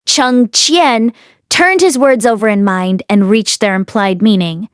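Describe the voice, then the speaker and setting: A woman's voice: medium pitch, measured pace, clear, resonant tone, no room sound, studio quality. A woman reading a fantasy novel aloud as an audiobook narrator. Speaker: audiobook reader. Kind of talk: expressive storybook narration.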